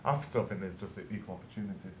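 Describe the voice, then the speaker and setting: A young man co-hosting a student show, talking in a casual discussion style. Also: quick pace. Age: young adult. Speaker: student radio show co-host. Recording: roomy echo, clear rumbling noise.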